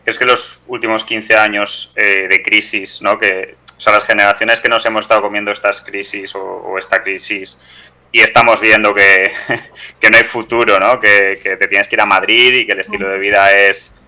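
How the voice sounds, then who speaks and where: A young man with an accent from Spain, asking long, halting interview questions in a conversational style. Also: lowish voice, flat delivery, bright, forceful tone, rough recording. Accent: Spanish from Spain. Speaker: radio interviewer.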